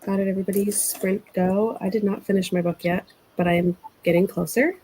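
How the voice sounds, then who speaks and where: Easygoing woman, voice deepened by illness. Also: brisk, a little hiss or hum, low-quality recording. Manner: relaxed, easygoing, laughs things off. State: recovering from illness, voice deeper than usual. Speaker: book club co-host. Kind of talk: casual group chat on a video call.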